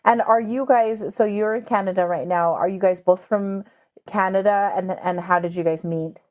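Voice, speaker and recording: A woman's voice: mid-range voice, steady tone, normal speed, highs cut off. A woman hosting a podcast, asking interview questions and affirming her guest. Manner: encouraging, affirming. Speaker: podcast host.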